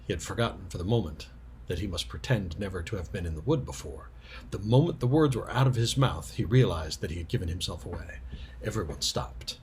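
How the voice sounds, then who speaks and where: A man's voice: sits low, lively intonation, brisk, darkish tone, slight background noise. A man narrating a storybook expressively as a storyteller.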